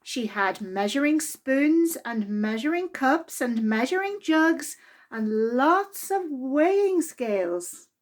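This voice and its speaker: Lady doing kids storytime, high pitched voice, really slow and expressive, draws out the important words. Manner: expressive, deliberate, drawn-out emphasis on key words. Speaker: librarian reading a children's storybook aloud. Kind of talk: children's storytime narration.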